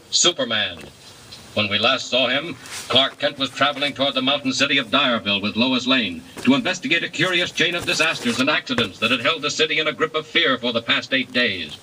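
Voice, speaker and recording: A male radio announcer in the grand style, declaiming with a very bright, ringing tone. He sounds set back from the mic, with faint hiss of an old radio recording. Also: medium pitch, far from the mic, poor audio.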